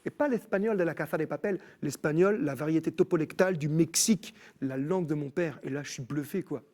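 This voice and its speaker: A man with a slightly high voice tells his faith story as a studio guest. He slips into the voices of people he quotes. His delivery is animated. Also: brisk. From France. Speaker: guest, musician. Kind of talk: personal faith testimony. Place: TV studio set.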